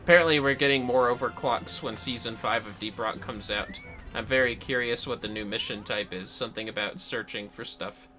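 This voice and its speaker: Bright-voiced man rambling over game music. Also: mid-range voice, a little fast. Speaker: livestream host playing a game. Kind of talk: casual gaming commentary. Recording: music behind the voice.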